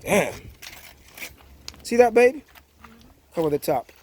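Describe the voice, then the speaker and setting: A man's voice: high-pitched, big pitch swings, slow pace, darkish tone. A man with a Jamaican accent hosting a casual cooking vlog outdoors by a wood fire, chatty and easygoing, often saying "yeah, man".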